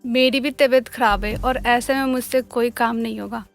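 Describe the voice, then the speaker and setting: A woman voicing a defiant, sharp-tongued young daughter-in-law in a cartoon family drama, arguing and demanding. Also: on the high side, fairly even intonation, moderate pace, creaky, soft background music. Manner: argumentative, demanding.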